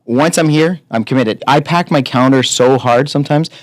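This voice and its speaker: Fast-talking guy in his 30s, cusses a lot, dry close mic. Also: mid-range voice, no echo. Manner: blunt, profane, animated storyteller. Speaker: podcast guest. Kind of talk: casual chat.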